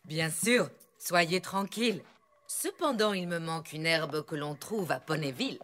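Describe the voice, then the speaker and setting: Solemn, cryptic zebra herbalist. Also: female voice, deep voice, a bit bright, slight background noise. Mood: wise. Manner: formal, measured, theatrical, cryptic. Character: mysterious, dignified female zebra herbalist, solemn and wise. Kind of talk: French cartoon dubbing. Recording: music behind the voice.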